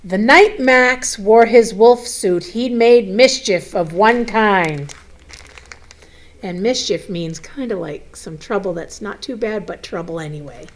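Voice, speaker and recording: A woman reads a children's story at a slow, easy pace. Her voice sits at a medium pitch, and she is expressive, speaking directly to young listeners with simple, chatty explanations. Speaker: school superintendent reading a picture book to children.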